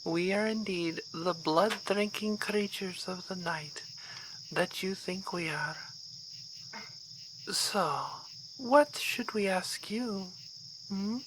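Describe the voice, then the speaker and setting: Actress as vampire baroness for ASMR roleplay: courtly, coolly teasing, very unhurried delivery, clear cricket noise around her. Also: mid-range voice, natural voice. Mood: amused, courtly. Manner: formal, measured, drawn-out theatrical phrasing. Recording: outdoor ambience with clear insect noise.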